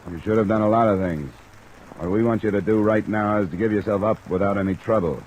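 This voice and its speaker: An actor with an American accent playing a cool, blunt insurance investigator, terse and matter-of-fact in an old radio drama. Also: male voice, fairly low pitch, fast talker, darkish tone, slight background noise, lightly acted, low-quality recording. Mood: firm, insistent. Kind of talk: mid-century American radio detective acting. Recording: old radio recording under rain sound.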